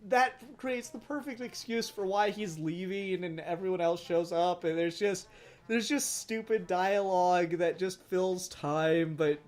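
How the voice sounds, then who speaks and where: A man co-hosting a podcast, giving opinionated, emphatic takes with plenty of swearing in a casual fan discussion. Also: high-pitched. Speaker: podcast co-host.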